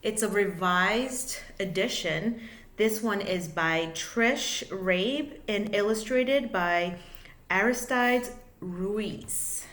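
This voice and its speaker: A woman reading a children's picture book aloud like a teacher, in an expressive, sing-song way with drawn-out words. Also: mid-range voice. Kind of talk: read-aloud children's storytelling. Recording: echoey room.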